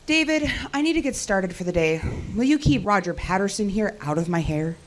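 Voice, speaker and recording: A woman's voice: medium pitch, animated, brisk, natural voice. A woman acting the part of a practical, skeptical technician in an audio drama, with a dry, matter-of-fact delivery. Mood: suspicious. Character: practical, skeptical, dry-witted female cell tower technician. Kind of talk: naturalistic audio drama dialogue.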